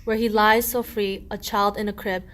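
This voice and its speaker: A woman's voice: mid-range voice, flat delivery, normal speed, a bit bright, lightly acted. A woman playing a solemn, benevolent angel, proclaiming in rhymed verse for a radio play.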